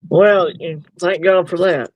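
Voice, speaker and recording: A man hosting a casual livestream chat, rambling and easygoing, quick to laugh. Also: high voice, fairly even intonation, no room sound, poor audio. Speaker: livestream host.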